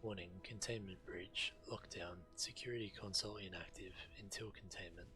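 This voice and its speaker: Ancient machine intelligence. Low-pitched, impassive, measured video game announcements with music behind. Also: male voice, steady tone, bright, forceful tone, low-quality recording. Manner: measured, clipped status announcements. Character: impassive ancient titan facility computer, formal and clinical.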